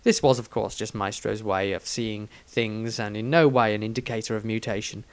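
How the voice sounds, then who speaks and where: A man's voice: fairly low pitch, steady tone, normal speed, no echo. A man narrating a fantasy story expressively, as an author reading his own work.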